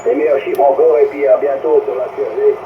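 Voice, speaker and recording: A man with a Belgian French accent chatting on CB radio, lively but hesitant, with many fillers. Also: fairly high pitch, brisk, echoey room. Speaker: CB radio operator. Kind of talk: casual radio chat. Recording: muffled noisy radio signal.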